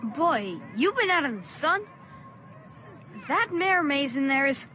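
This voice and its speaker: A woman playing a bold, defiant thirteen-year-old small-town boy in a radio drama, brash and excitable. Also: high-pitched, unhurried, slight background noise, full character voice. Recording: dull lo-fi audio with music.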